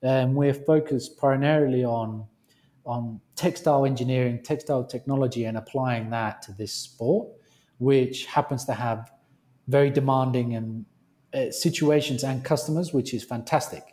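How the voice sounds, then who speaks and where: A British man, a company founder, speaking as a guest on a remote interview call, with halting speech and frequent restarts. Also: medium pitch, steady tone, dark tone. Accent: British English. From Britain. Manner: halting, restarts phrases often.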